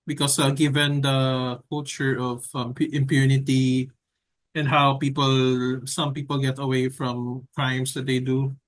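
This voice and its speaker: A young man from the Philippines with a Filipino accent, talking casually in an interview in a hesitant, measured way with frequent pauses. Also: mid-range voice, flat delivery. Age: young adult. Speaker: editorial cartoonist being interviewed.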